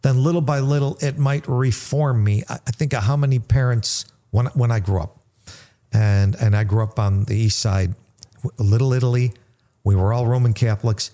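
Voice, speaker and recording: Mellow-voiced man with reflective stories. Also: mid-range voice, normal speed. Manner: reflective, tells personal stories to make his point.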